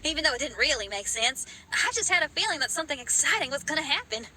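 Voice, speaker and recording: A woman playing an upbeat young adventurer in a video game, talking in an informal, energetic way. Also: high voice, fast, a little hiss or hum, heavily acted, low-quality recording. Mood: eager. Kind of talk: video game character acting.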